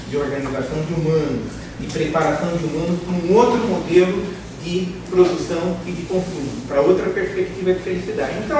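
A middle-aged Brazilian man giving an academic lecture in a large bare classroom, speaking in a measured, thoughtful way with hesitant restarts and a frequent questioning tag. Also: sits high. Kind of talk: academic lecture to course participants. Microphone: far camera, no mic. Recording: echoey room from far off, noisy.

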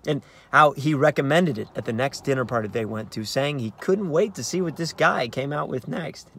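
Man, thirties, American accent, mid pitch, actor's narration, halting conversational delivery, uneven emphasis, car interior. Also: a bit bright, breathy edge. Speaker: actor in a staged reading. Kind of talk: dramatic narration of a play read on book. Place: inside a parked car in daylight.

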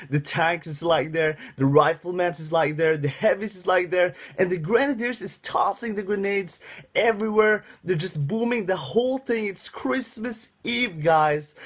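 A young man giving excited gameplay commentary from home, calling out to his viewers, his voice going hoarse. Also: sits high. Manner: upbeat, calls out to viewers a lot. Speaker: mobile game youtuber. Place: indoors at home.

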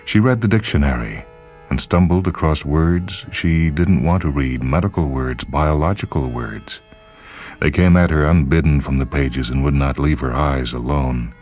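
A man reading literary short fiction as a radio host, in a measured, dramatic storytelling manner. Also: extremely low pitch, a little mellow. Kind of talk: literary scripted narration. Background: background music.

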